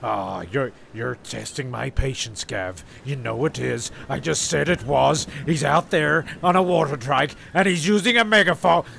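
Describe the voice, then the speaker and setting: A man, narrating and voicing characters in a deadpan, exasperated way. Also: medium pitch, normal speed, creaky, few pauses, a little hiss or hum. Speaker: narrator and show creator. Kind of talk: comedy narration.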